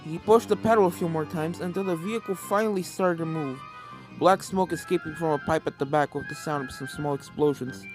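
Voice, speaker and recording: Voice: medium pitch, a little fast, music in the background. A narrator reading scripted fan fiction as storybook narration.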